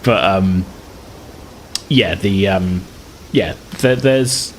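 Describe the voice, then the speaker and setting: A man's voice: low voice, drawn-out words, slight background noise. A man improvising narration as a game master, explaining things in a hesitant, rambling way.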